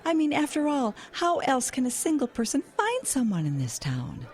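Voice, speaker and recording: Flirty single lady sleuth, high-pitched, animated, playful, theatrical, radio drama. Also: normal speed. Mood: breezy, defensive. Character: flirty, breezy single woman, chatty sister sleuth. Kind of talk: audio drama acting.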